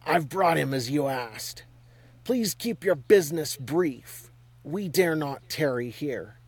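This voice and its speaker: A man's voice: fairly high pitch, expressive, takes it slowly, slightly rough, no echo, heavily acted. A curt, contemptuous envoy, as the narrator puts him on, each line clipped and cold. He is impatient to be gone. The voice stays close and dry. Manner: clipped and icy.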